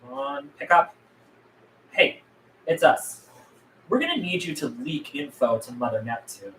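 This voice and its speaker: A man's voice: on the high side, big pitch swings, a little slow, fairly bright tone, exaggerated voice. A man playing a defiant, world-weary and blunt rebel leader at a script table read. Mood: urgent, determined. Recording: echoey room with background noise.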